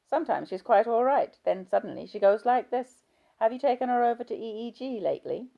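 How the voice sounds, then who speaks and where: Woman reading gossipy nurse, brisk, chatty, lively rises and falls, slightly raised pitch. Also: vocal fry, highs cut off. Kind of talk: audiobook character voice.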